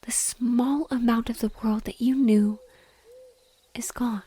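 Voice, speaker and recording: A mournful banshee, played by a woman, speaks in a soft, breathy whisper on the high side. Her delivery is very slow and tender, full of sorrowful devotion. It is an intimate audio roleplay performance. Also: fairly even intonation. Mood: grave, wistful. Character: sorrowful female banshee, gentle and lovingly devoted.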